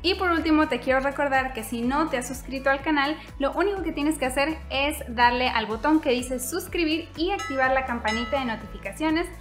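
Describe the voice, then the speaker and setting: A woman's voice: high-pitched, a little fast, hardly any pauses. A young woman hosting her own channel, explaining a topic in an upbeat way straight to her viewers from a room at home. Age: young adult. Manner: upbeat, direct address to viewers. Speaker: YouTube host. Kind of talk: scripted vlog explainer. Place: indoor room, seated on couch. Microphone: fixed camera, medium shot. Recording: echoey room with soft background music.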